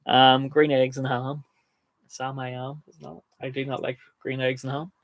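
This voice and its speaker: A man's voice: mid-range voice, flat delivery, moderate pace, no room sound. A man with a slight lisp, speaking through his teeth in easy livestream chat. His voice sits in a middle range and is recorded close and dry, with no room around it. He laughs readily as he chats. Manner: chatty, laughs often. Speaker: co-host.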